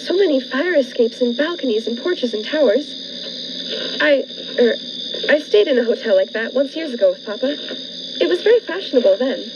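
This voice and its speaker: A woman's voice: high-pitched, lively intonation, fairly quick. A woman playing a naive, nervous newlywed bride in an old-fashioned radio thriller, speaking in genteel, dramatic, hesitant phrases. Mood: chatty, fussing. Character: naive, fluttery newlywed bride turning anxious and pleading. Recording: muffled lo-fi radio play, noisy background.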